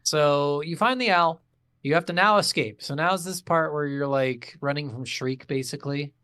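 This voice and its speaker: A man's voice: on the high side, moderate pace, bright, forceful tone, smooth, no room sound. A man co-hosting a game podcast, chatting casually and bluntly with plenty of swearing and strong opinions. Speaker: podcast co-host reviewing video games. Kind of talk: casual unscripted chat recapping a game.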